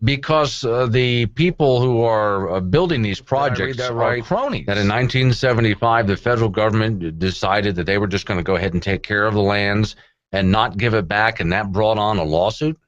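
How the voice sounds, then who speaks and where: A man's voice: fairly low pitch, a little fast, dry recording. An American man hosting a conversational radio call-in show, informal and wry as he puts questions. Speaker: radio talk show host. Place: radio studio. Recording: slightly dull radio broadcast air-check.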